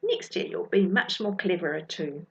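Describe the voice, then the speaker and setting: A woman voicing a confident, bossy young girl detective in a children's story, brisk and take-charge. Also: on the low side, expressive, vocal fry, fairly bright tone, highs cut off. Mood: assured.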